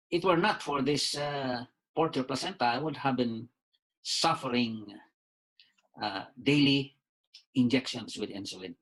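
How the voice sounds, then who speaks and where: Halting Filipino man in his sixties. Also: medium pitch, fairly bright tone, poor audio. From Philippines. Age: 60s. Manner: halting, many "uh" pauses, repeats words, says "you see" often. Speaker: doctor and lawyer giving a product testimonial. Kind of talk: casual spoken testimonial.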